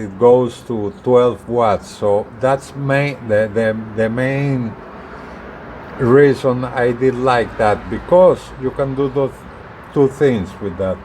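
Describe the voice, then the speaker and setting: Man, slow halting delivery, mid-range pitch, casual how-to vlog, deliberate phrasing, clear background noise. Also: darkish tone, poor audio. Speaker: vlogger, hobbyist radio user and truck driver. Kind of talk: casual explanatory how-to talk to camera.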